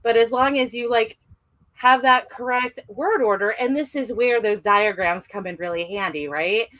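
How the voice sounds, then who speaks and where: A woman's voice: a little high, moderate pace, fairly bright tone. A woman chatting casually on a podcast, with lots of "like" fillers. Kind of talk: casual chat.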